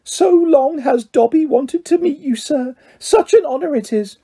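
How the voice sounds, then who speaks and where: A man voicing a small, fawning, earnest house elf with a high-pitched squeaky voice in a storybook reading. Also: a little slow, dark tone. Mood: earnest, reverent. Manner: speaks of self in third person, fawning. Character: small servile male house elf, earnest and tearfully grateful.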